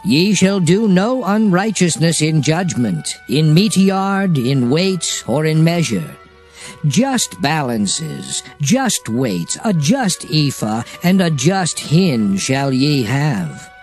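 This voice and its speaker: An actor playing a solemn lawgiver, declaiming divine commandments in a measured dramatized King James scripture reading. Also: fairly high pitch, very animated, full character voice. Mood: solemn, commanding. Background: music.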